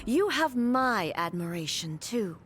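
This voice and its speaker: A woman's voice: a little high, sing-song, a bit bright, slightly rough, exaggerated voice. A stern, solemn god-queen. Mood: admiring. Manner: formal, measured, grave. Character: stern godlike female ruler, solemn and proud. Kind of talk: dubbed game dialogue. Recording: game audio with faint music.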